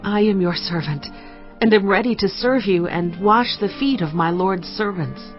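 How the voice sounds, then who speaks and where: An actress playing a humble, wise noblewoman in a dramatized scripture reading, speaking with earnest, reverent, measured phrasing. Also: mid-range voice, lo-fi sound, soft background music, close to an everyday voice.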